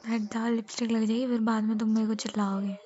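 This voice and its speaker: A woman playing an affectionate young wife who teases and gently chides in an intimate romantic roleplay. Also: fairly high pitch, level tone, fast talker, muffled recording, lightly acted. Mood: gently chiding. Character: affectionate, mildly scolding, playful young wife. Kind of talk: intimate romantic couple roleplay in casual Hindi.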